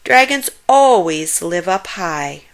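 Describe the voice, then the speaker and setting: A woman's voice: fairly low pitch, expressive, slow pace. A woman voicing an adventurous, hearty old grandfather in a storybook, with a theatrical, emphatic manner. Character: adventurous, hearty old grandfather, confidently oblivious, fond of tales. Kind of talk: storybook character voice.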